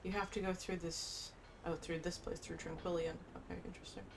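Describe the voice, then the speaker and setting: A woman's voice: fairly low pitch, little pitch movement, fairly quick, background noise. A woman giving casual gameplay commentary, rambling and thinking aloud as she plays. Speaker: gaming streamer. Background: video game music.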